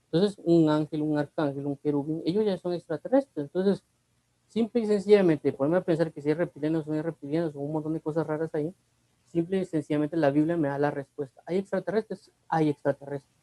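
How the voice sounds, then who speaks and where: A man's voice: a little high, dark tone, no echo, poor audio. Guatemalan man teaching the Bible. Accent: Guatemalan Spanish. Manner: conversational, repeats himself, asks rhetorical questions. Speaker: preacher.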